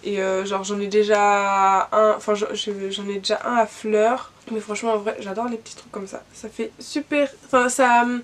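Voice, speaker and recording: A woman's voice: mid-range voice, flat delivery, moderate pace, hardly any pauses. A young woman with a cold, chatting casually in a vlog and showing her gifts, with lots of fillers and restarts. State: has a cold. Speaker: vlogger. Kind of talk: casual gift haul vlog.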